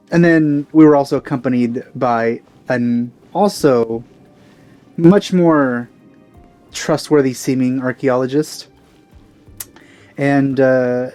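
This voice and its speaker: A man's voice: mid-range voice, mellow. Male let's play host, mid-range voice, offhand and rambling casual commentary over game music.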